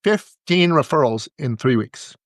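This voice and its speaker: A man talking as a podcast guest and business coach, giving an energetic pitch and repeating words for emphasis. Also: a little slow, no room sound, studio quality.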